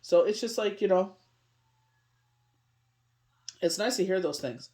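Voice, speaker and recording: A woman's voice: medium pitch, lively intonation, measured pace, warm tone. A middle-aged woman from Canada giving a casual daily tarot reading, rambling and constantly saying "you know what I mean". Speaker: tarot reader.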